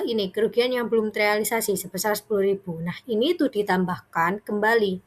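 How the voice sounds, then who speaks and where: A young adult woman giving an informal student presentation, explaining step by step in a conversational way. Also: medium pitch.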